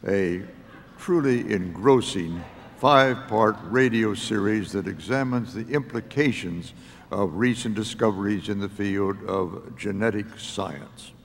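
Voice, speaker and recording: A man's voice: fairly low pitch, measured pace. A man reading a scripted announcement as the presenter of an awards ceremony. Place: large hall at an awards ceremony. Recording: echoey hall, audience noise.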